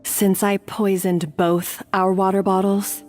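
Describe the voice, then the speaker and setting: A woman's voice: mid-range voice, level tone, slow, darkish tone, faint music behind, lightly acted. A woman playing a bitter, guilt-ridden woman in a video game, speaking with intense, melodramatic defiance. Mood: bitter, vengeful. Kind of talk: dramatic video game voice acting.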